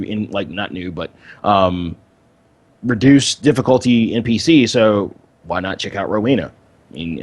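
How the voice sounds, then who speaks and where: A man with a mid-pitched voice co-hosts a casual gaming podcast. His intonation moves around a lot, and he talks in a halting way, doubling back on phrases. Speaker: podcast co-host.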